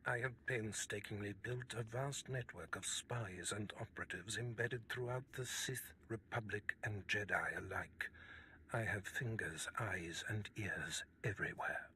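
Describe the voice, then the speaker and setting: A forceful, sharp-voiced scheming Sith lord. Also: male voice, fairly low pitch, slight background noise. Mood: cold, commanding. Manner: formal, measured, imperious. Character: commanding scheming Sith lord, cold master of spies. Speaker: voice actor in a game. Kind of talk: cinematic video game acting.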